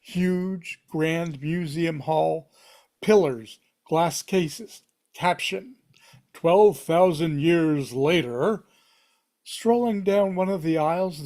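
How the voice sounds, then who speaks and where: A man's voice: on the high side, darkish tone. A man reading screenplay stage directions aloud at a table read, in punchy, dramatic fragments.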